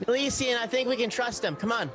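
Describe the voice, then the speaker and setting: A man's voice: very high-pitched, quick pace, over music. A man playing a tabletop roleplaying game, switching casually between table chat and speaking as his character.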